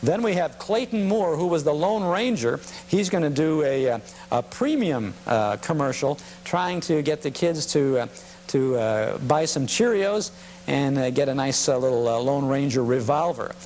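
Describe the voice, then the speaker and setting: An American man informally presenting vintage clips in a halting, reminiscing way. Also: fairly high pitch. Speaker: presenter of vintage broadcasting programme. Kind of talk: informal spoken introduction to film clips. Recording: steady hum and noise.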